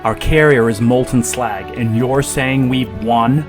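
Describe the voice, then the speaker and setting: A man's voice: mid-range voice, a little slow, music in the background, lightly acted. A man playing a pessimistic, sardonic fighter pilot in a sci-fi audio drama, dry and grumbling. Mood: bitter.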